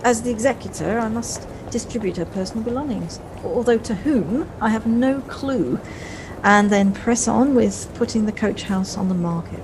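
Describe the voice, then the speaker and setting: A woman in an audio play voicing a brisk, fretful, well-spoken wife with a wry, slightly snobbish manner, recorded at home on a personal device. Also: fairly high pitch, background noise, natural voice. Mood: brisk, dismissive.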